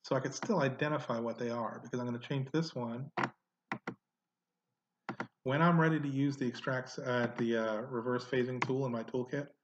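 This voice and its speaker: A man of ordinary pitch talks through a software walkthrough, thinking aloud and pausing on "uh" and "um." His home recording is dull and lo-fi, with steady noise and the clicks and typing of a computer behind him. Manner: thinks aloud with frequent fillers. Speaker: genealogy tutorial host.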